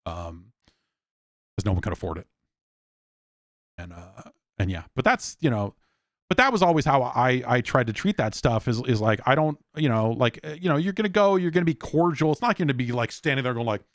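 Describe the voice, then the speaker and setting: A man's voice: medium pitch, sing-song, a little fast, no room sound, studio quality. A man hosting a podcast, telling rambling anecdotes in a casual, blunt, sweary conversational way. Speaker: podcast host, games journalist.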